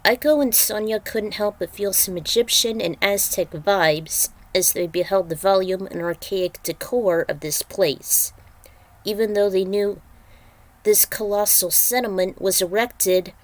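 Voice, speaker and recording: A woman reading fan fiction aloud and giving casual recaps in a deliberate, halting manner. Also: mid-range voice. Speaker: fan fiction author and reader.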